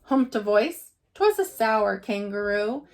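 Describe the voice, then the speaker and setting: A woman's voice: mid-range voice, very animated, takes it slowly, a little mellow. A woman reading a rhyming picture book aloud with expression, like a teacher to pupils.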